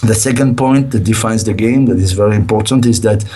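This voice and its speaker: Middle-aged developer guest, low voice, worn out and hesitant. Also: male voice, brisk, mellow, breathy edge. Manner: hesitant, many fillers and restarts. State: tired, nearly lost his voice. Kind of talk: casual interview over a call.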